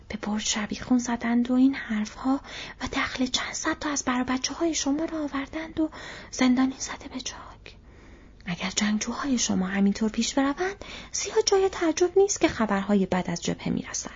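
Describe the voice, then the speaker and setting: A woman voicing an insolent, quarrelsome male orc tracker in an audiobook, scoffing and grumbling in a husky, breathy voice. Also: a little high, pitched above the performer's own voice, full character voice. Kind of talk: audiobook character voice. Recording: dull, muffled lo-fi audio.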